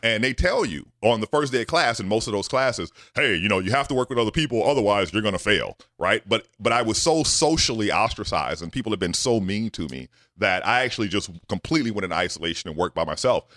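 A middle-aged man from the United States, a scientist guest telling his story in an animated way, often checking in with "right?". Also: on the low side, quick pace, few pauses, no echo, studio quality. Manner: animated, with many repeated "right?" asides. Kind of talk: storytelling in a podcast interview.

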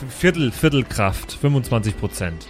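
A man's voice: mid-range voice, very animated, moderate pace, faint music behind. A man talking casually as a player in a tabletop role-playing game.

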